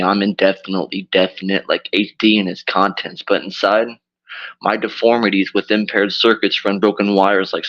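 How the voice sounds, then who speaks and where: A deep-voiced, raspy man performs a dark rap verse at a slow, deliberate pace, a bit drunk and loopy. His delivery stays low and flat. The audio is muffled and lo-fi. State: drunk and loopy after dental surgery. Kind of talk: slow spoken-word rap.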